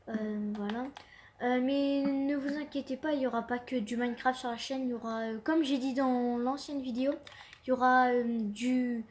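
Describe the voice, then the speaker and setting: A young woman with a stuffy cold chats her way through a Minecraft session in a high, slow voice. She hesitates, restarts and fills every gap with fillers. A faint hiss sits under the recording. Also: a little mellow. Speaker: gaming channel host. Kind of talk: casual Minecraft commentary.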